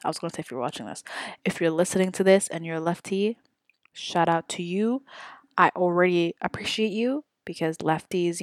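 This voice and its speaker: Young woman in her twenties, low-pitched, close dry mic, casual podcast chat, rambling with many ums. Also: no echo. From Saudi Arabia. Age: 20s. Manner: rambling, chatty, many ums and self-corrections. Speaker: podcast host.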